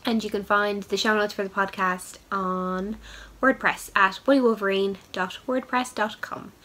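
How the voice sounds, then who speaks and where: A woman's voice: mid-range voice, fairly even intonation, normal speed, bright, forceful tone, rough recording. A young woman hosts a knitting podcast in a casual, chatty way, full of ums and upbeat asides, and she has a head cold. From Ireland. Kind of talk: casual show-and-tell chat.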